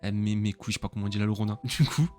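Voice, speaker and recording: Fast-talking young man hosting a stream. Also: fairly low pitch, flat delivery, a little mellow, soft background music. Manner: rambling, hesitant with many fillers. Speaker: streamer host. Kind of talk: casual live-stream chat.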